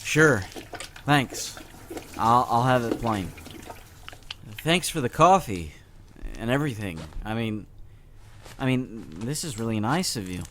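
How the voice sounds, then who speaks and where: Guy doing awkward teen boy in a comic dub, stammery n doubtful, slow talker, bit of background noise. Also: medium pitch, lively intonation, a bit bright. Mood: awkward, sincere. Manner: halting, stammering, hesitant. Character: awkward, skeptical teenage boy.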